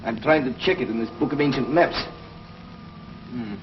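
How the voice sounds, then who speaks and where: An actor playing an earnest, learned scientist from the future in 1950s television science fiction, speaking in a deliberate, explanatory, professorial way. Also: male voice, mid-range voice, natural voice. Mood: grave, businesslike. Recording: noisy, dull 1950s television soundtrack.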